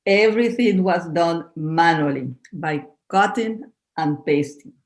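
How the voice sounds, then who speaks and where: A woman's voice: on the low side, measured pace. Venezuelan woman in her fifties. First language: Spanish. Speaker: guest. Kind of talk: podcast interview.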